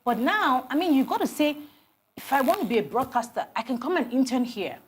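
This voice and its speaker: A Nigerian woman in her forties, a TV interview guest telling animated stories about her career with many restarts. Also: sits high, a little fast, breathy edge. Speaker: guest, HR consultant. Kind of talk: TV interview conversation.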